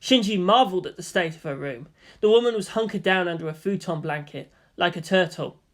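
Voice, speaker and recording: A woman reading fan fiction aloud as expressive scripted narration. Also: on the low side, brisk.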